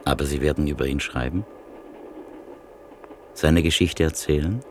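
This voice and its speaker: A man's voice: a bass voice, normal speed, slight background noise. An actor playing an earnest, philosophical man who questions a writer in a radio play.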